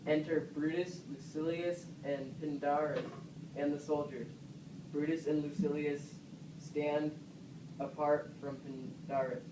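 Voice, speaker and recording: A man's voice: on the high side, little pitch movement, unhurried. A man narrating a student puppet play, reading out cast names and stage directions. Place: classroom, voice from behind a puppet stage. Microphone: far camera. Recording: echoey classroom, distant mic, clear noise.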